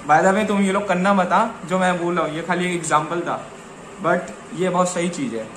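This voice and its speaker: A man's voice: sits high, moderate pace. A young man chatting casually to camera in an informal, slangy way, often repeating himself. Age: young adult. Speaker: vlogger. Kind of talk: casual chat to camera, Hindi mixed with English. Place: small living room, later outdoors on open ground. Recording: roomy echo, clear background noise.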